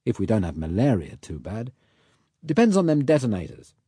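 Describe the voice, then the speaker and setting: A man voicing a rough Cockney boat mechanic who talks in blunt working-class slang. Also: on the low side, sing-song, fairly quick, mellow, no echo, clean studio sound. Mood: earnest.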